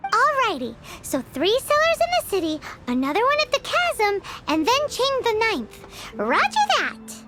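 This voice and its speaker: Very high, animated little fairy companion, excitable and exclamatory, game music behind. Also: female voice, measured pace, heavily acted. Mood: excited. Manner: refers to self in third person, exclamatory. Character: small childlike floating companion, excitable and greedy. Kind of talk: video game character acting. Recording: studio voice under game music.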